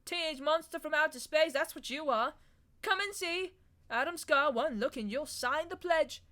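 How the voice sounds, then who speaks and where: A woman's voice: sits high, expressive, measured pace, a bit bright, voice pushed up, exaggerated voice. A woman reading an audiobook voices a male pub landlord who grumbles and jeers at a customer. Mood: jeering. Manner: grumbling, jeering.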